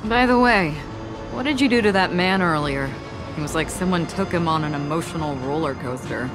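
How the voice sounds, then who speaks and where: A woman's voice: on the low side, brisk, over music, natural voice. A woman playing a courteous, composed and mysterious lady with formal phrasing in a video game. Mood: musing. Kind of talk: video game dialogue acting.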